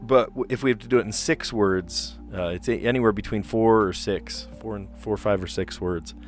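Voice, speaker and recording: Man, 40s, lower voice, close desk mic, casual home puzzle stream with soft guitar behind. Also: normal speed. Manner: thinks aloud, easily amazed, chuckling. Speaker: puzzle livestreamer. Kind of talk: casual livestream commentary. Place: home room, indoors. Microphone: close podcast mic on a desk.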